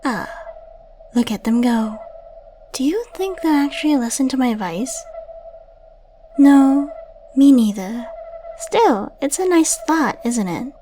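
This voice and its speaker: Woman acting a high-voiced, flirty secret agent. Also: lively intonation, slow, long pauses, dark tone, a little hiss or hum. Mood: fond, amused. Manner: coy, teasing, chatty with tag questions. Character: playful, flirtatious female secret agent, affectionate and teasing. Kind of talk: scripted ASMR roleplay, addressing the listener. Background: ambient sound effects.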